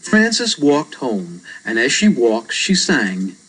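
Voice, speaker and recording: A woman reading a children's story aloud as an expressive narrator. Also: extremely low pitch, takes it slowly, over music, low-quality recording. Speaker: storybook reader.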